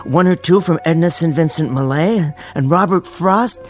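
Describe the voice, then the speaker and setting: An actor playing a meek, bookish middle-aged husband in a radio drama, stammering and politely apologetic. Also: male voice, on the high side, normal speed, faint music behind, close to an everyday voice. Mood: delighted. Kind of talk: old-time radio drama acting.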